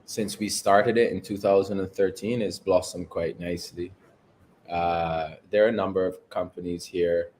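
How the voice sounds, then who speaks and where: A man from Barbados, a guest co-founder, giving measured, articulate interview answers from home. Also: low-pitched, fairly even intonation, darkish tone, slight background noise. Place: home overlooking the ocean.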